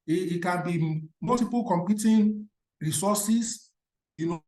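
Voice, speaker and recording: A Nigerian-accented speaker, a native Yoruba speaker, gives an emphatic motivational career talk. The voice sits in a mid register and rings with room echo. The speaker keeps pausing on rhetorical questions for the audience to answer. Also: medium pitch, mellow. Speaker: career speaker at an alumni event. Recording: roomy echo.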